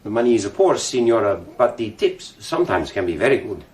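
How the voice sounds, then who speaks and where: Low-voiced, deferential hotel servant. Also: male voice, big pitch swings, a little fast, darkish tone, slight background noise. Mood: deferential, cautious. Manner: polite, obliging. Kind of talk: radio drama acting.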